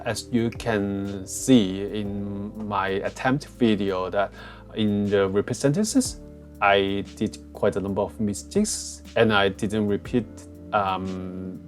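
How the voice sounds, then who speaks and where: Hong Kong-accented man, low voice. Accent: Hong Kong English. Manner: frequent fillers and restarts, plain phrasing. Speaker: test prep tutor. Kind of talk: casual explainer walkthrough. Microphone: cheap headset.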